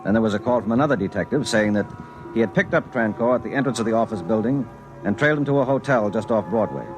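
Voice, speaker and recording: An actor playing a harried, dogged investigator in a 1950s radio drama. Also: male voice, fairly low pitch, quick pace, over music, close to an everyday voice. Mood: reflective narration. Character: harried married investigator, dogged but placating. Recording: muffled old radio broadcast.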